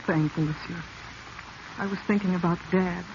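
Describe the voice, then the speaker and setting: A woman's voice: lowish voice, steady tone, moderate pace, natural voice. An actress playing an earnest, proper young woman, a professor's daughter, in a 1940s radio drama. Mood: subdued. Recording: dull vintage radio recording, faint hiss.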